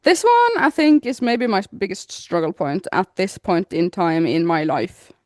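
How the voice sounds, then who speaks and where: A woman's voice: medium pitch, measured pace, no echo. Agreeable young woman, dry close sound. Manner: agreeable, lively, laughing. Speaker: podcast co-host. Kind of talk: casual chat.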